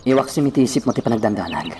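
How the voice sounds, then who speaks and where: A man's voice: medium pitch, lively intonation, racing, far from the mic, background noise, lightly acted. A man playing an earnest, reassuring sweetheart in a sentimental radio drama. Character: earnest, reassuring male sweetheart with risky plans.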